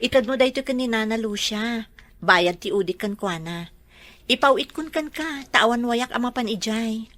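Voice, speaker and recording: High-voiced, humble housemaid, played by a woman. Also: expressive, moderate pace, a bit bright, no room sound. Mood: friendly, confiding. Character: polite, deferential housemaid and devoted single mother. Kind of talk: radio drama acting in dialogue.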